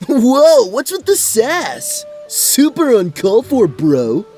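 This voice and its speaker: Man as a high, animated, cocky swordsman. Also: takes it slowly, faint music behind, exaggerated voice. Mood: indignant, earnest. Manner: boastful, bro-like, earnest. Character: cocky, clueless young male adventurer and sword fighter. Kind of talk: fantasy audio drama acting.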